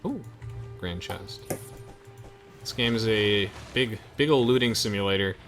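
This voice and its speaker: A man's voice: fairly low pitch, unhurried, a bit bright. A young man hosting a casual let's play, explaining the game with enthusiasm. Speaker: gaming video host. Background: faint game music.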